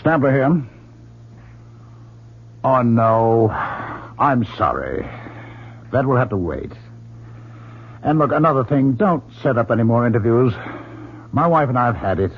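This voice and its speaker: An actor playing a practical, doubting husband and explorer in an old-time radio drama. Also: male voice, medium pitch, very animated, unhurried, long pauses. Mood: irritated. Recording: phone-like old radio recording, faint noise.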